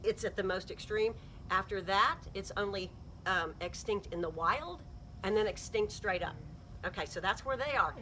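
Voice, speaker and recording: A woman's voice: medium pitch, moderate pace, a little hiss or hum, rough recording. A middle-aged woman who works as a heritage interpreter, giving an upbeat, encouraging guided nature talk outdoors to a small group. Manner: upbeat, encouraging, lively speaker. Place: outdoors at a ranch.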